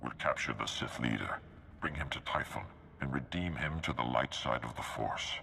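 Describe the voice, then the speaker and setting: A man voicing a venerable, confident and idealistic Jedi master in a video game, measured and solemn with a gravelly voice. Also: extremely low pitch, heavily acted. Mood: solemn, persuading. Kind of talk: video game cutscene voice acting. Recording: loud music behind.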